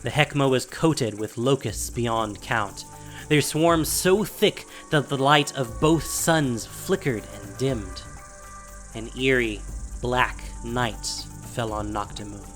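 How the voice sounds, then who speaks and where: A man narrating a scripted fantasy audio drama with measured delivery and dramatic pauses. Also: medium pitch, fairly bright tone. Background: faint music.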